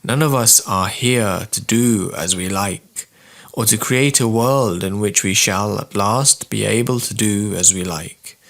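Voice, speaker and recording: A man narrates a prepared text in a fairly low voice with plenty of gravelly creak. His delivery is formal and measured, with deliberate phrasing, like an audiobook of spiritual writings. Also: a bit bright. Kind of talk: scripted narration, audiobook-style reading of spiritual texts.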